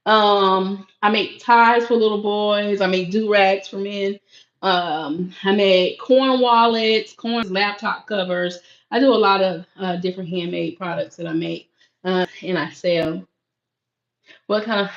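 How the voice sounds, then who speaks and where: A woman hosting a casual live stream from a home room, with a voice that carries. She chats and laughs while reacting to viewer comments. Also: a little high. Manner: projecting voice that carries. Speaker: livestream host reading chat. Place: small home room used for crafting and recording, sparse and echoey. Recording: echoey room, dull lo-fi sound.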